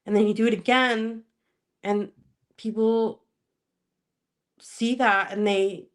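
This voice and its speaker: A woman from Spokane, Washington, speaking as a podcast guest in casual interview chat, laughing often and pausing to search for words. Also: a little high, steady tone.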